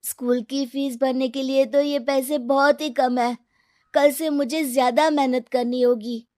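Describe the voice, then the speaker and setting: A high, bright-sounding poor young boy, earnest and eager, full of hope, as a woman puts him on. Dry, close recording in a storybook voice. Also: fairly even intonation, measured pace, no echo. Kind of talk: storybook voice acting.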